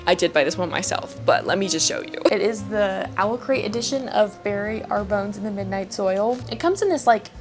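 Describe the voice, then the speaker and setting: Gushing woman in a casual book vlog. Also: mid-range voice. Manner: gushing, emphatic, giggly. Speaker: book reviewer, vlogger. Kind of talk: casual chat to camera about books.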